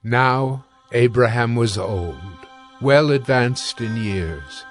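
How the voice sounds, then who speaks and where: A man narrating a dramatized scripture reading in a measured, formal, scripted style. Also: mid-range voice, expressive, soft background music.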